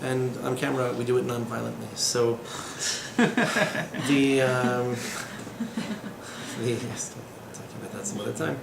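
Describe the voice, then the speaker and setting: Guy in his 30s giving a loose class, gravelly voice, jokey asides, echoey room with some noise. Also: medium pitch, fairly even intonation, unhurried, long pauses. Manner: chatty, digressive, sardonic humor, frequent fillers. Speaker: rabbi teaching a class. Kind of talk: informal lecture with asides and jokes. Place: small indoor room.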